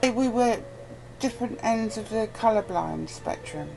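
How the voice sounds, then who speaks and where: A woman's voice: a little high, expressive, measured pace, vocal fry, darkish tone, rough recording. A woman in a casual debate, arguing her points insistently. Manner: insistent, argumentative. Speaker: interviewer in conversation. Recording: faint noise on amateur chat recording.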